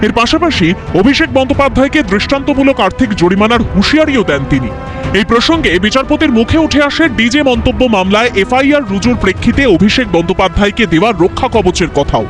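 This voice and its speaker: A man's voice: very high voice, lively intonation, quick pace. A man reading a scripted news voice-over as narrator. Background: music under the voice.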